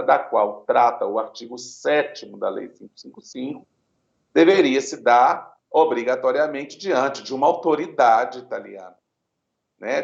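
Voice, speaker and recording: Gravelly Brazilian man, muffled echoey sound. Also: medium pitch. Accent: Brazilian Portuguese. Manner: deliberate, tag questions like "né?" and "tá?". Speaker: lawyer interviewed on Italian citizenship law. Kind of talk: explanatory legal talk.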